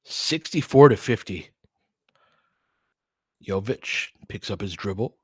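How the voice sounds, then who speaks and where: A man's voice: lowish voice, expressive, slow, breathy edge, dry recording, studio quality. An American man giving casual live basketball commentary, conversational and analytical, often in clipped phrases. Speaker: host and play-by-play commentator for a fan stream.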